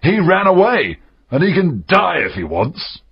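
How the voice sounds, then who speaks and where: An actor playing a fierce, cunning pirate captain in an audiobook drama, gravelly and husky, with a theatrical drawl. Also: male voice, high voice, wildly swooping pitch, a little slow, lo-fi sound, full character voice. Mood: sly storytelling. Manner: theatrical, drawling, swooping delivery.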